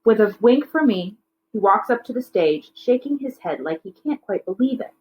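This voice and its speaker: A woman's voice: mid-range voice, animated, moderate pace, narrow, tinny audio, slight background noise. Woman reading a children's novel aloud. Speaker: teacher reading a book aloud.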